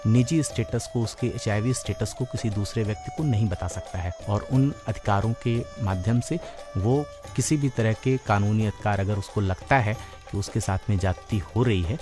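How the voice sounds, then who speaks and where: Indian guy explaining stuff formally, lowish voice, measured, music clearly playing behind. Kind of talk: explanatory awareness talk.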